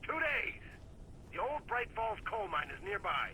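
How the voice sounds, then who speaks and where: A man's voice: high-pitched, sing-song, a little slow, pushed and bright, a little hiss or hum, exaggerated voice, rough recording. An actor playing a menacing kidnapper in a video game, taunting and bullying as he speaks.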